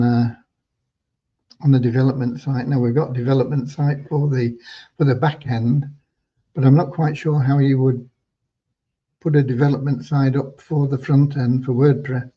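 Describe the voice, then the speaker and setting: A slightly hoarse man hosting an informal livestream update for members, talking in a rambling, halting way with hesitant repeats. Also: mid-range voice. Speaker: host giving business updates to members. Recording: dull, lo-fi online audio.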